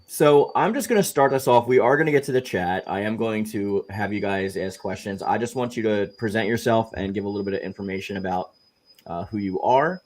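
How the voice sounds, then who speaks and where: A man hosting a casual livestream interview over a video call, relaxed and chatty with fillers. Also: on the low side, fairly quick. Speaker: host of a gaming channel livestream.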